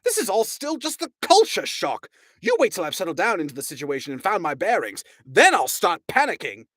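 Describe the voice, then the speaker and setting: A man voicing a flustered, indignant man who complains in high, rapid, exasperated bursts. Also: wildly swooping pitch, fairly bright tone, no room sound, studio quality, heavily acted. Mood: panicky exasperated. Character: panicky indignant Englishman, flustered and whiny.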